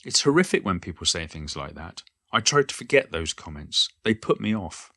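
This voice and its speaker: A low-voiced, wry elderly poet. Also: male voice, big pitch swings, moderate pace, sharp tone, no room sound, studio quality, heavily acted. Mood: wry, modest. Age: older. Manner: modest, wry, reflective. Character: elderly Cornish male poet and former schoolteacher reminiscing, modest and wry. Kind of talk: reflective first-person monologue, read from a book.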